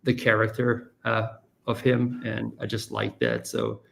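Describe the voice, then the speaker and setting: A man's voice: lowish voice, big pitch swings, gravelly, slight background noise. A German-born man in his forties with a light German accent, chatting earnestly as a podcast guest. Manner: earnest, rambling, quick asides. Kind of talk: casual interview chat.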